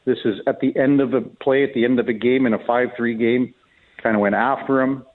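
A man's voice comes through a narrow, phone-like connection, sitting at an ordinary middle pitch. He talks sports casually as a guest commentator, offering folksy opinions in a conversational way. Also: fairly even intonation, fairly quick. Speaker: hockey commentator, guest on a sports radio show. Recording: tinny narrow phone-like line.

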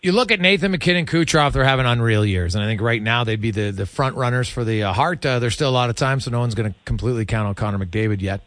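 A man hosting a casual sports interview, asking informal, rambling questions in a halting way. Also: mid-range voice, a bit bright, dry recording. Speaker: sports radio host, interviewer.

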